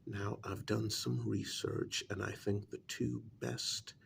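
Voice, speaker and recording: A man playing a calm, calculating vampire clan member in a soft-spoken ASMR role-play, speaking in a measured, hushed way with deliberate pauses. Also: fairly low pitch, vocal fry, a little hiss or hum, exaggerated voice. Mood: calm, scheming.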